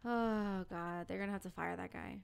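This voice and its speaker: A woman's voice: medium pitch, steady tone, normal speed, creaky. A woman co-hosting a casual podcast, blunt and swearing freely as she complains. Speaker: podcast co-host.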